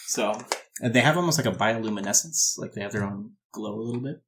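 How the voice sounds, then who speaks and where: A man improvising narration as game master of a tabletop fantasy game, halting and rephrasing as he describes. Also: on the low side, fairly bright tone, a little hiss or hum.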